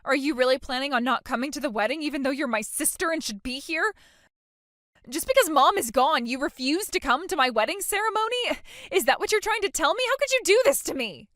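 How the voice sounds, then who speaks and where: A woman's voice: sits high, fast, hardly any pauses, pushed and bright, no echo, studio quality. A woman playing a self-centered young bride, whiny, oblivious and entitled, in a dramatized story. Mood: sulky disbelief. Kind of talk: dramatized phone-call story dialogue.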